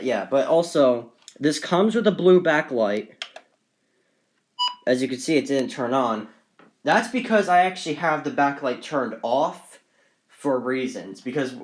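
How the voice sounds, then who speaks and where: Rambling guy giving a casual show-and-tell. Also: on the high side. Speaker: hobbyist collector presenting radios on video.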